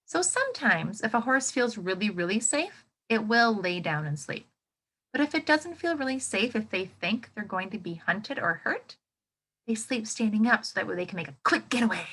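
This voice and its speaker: A woman teacher reading a nonfiction book aloud to children, expressively, thinking out loud and putting questions to her listeners. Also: medium pitch, sing-song, moderate pace, breathy edge. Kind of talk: interactive children's nonfiction read-aloud lesson.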